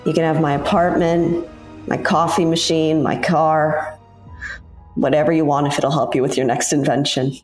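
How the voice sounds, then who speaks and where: Woman playing a low-voiced plucky adventurer, roomy sound. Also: flat delivery, moderate pace, a little mellow, faint music behind, lightly acted. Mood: fond, teasing. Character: bold, teasing female mystery-show presenter turned space adventurer. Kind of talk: sci-fi audio drama acting.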